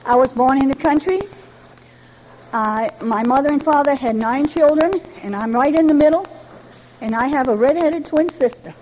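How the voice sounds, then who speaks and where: An older woman with a high voice shares her life story with a meeting, folksy and chatty with a light touch of humor. A faint hum of ambience sits behind her. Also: normal speed, darkish tone, low-quality recording. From Rhode Island, New England. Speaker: Al-Anon member giving a talk. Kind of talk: personal recovery story told to a meeting. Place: speaking to a gathering.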